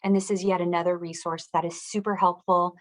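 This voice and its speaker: A woman's voice: on the low side, flat delivery, fairly quick. A woman presenting as a school district director, walking listeners through web resources in an explanatory, instructional way.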